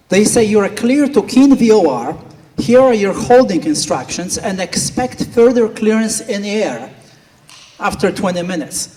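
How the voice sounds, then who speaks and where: A man's voice: high voice, darkish tone. Polish-accented man speaking in a big hall. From Poland. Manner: earnest anecdotal telling with quoted inner voices. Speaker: flight instructor giving a speech. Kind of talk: storytelling speech at a gala. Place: large banquet hall. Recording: distant speaker in a reverberant hall.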